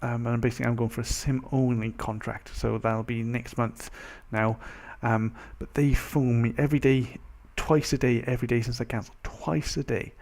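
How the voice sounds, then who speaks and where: A young man with a mild Welsh accent, chatting casually as a vlogger in a rambling, animated, self-interrupting way. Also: medium pitch, normal speed, hardly any pauses. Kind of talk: casual chat to camera.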